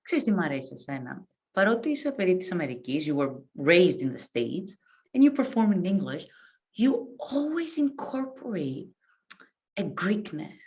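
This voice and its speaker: A woman's voice: on the low side, sing-song, normal speed, darkish tone. A woman hosting a radio interview, effusive and gushing, mixing Greek and English. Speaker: radio show host.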